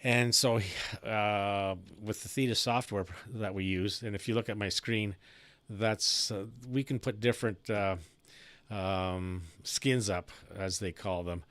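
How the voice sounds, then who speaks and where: A man with a fairly low voice talks shop as a ham radio operator. His delivery is halting and rambling, with pauses as he goes. The sound is close and dry, from a studio broadcast microphone. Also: steady tone, fairly bright tone, clear, resonant tone, dry recording. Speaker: amateur radio operator and live-stream host. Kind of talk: casual ham radio chat, technical shop talk.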